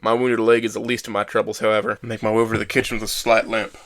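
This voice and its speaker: A man acts out a self-loathing young man telling his own story in an audio drama. His delivery is bitter and brooding, with very little rise and fall. The voice is bright and tense, sitting a bit low. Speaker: narrator and lead actor. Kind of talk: first-person audio drama narration.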